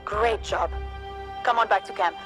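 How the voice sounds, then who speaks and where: A woman's voice: medium pitch, unhurried, over music, lightly acted. An actress playing a level-headed, resolute female rebel leader in dramatic video game dialogue, matter-of-fact and commanding. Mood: businesslike.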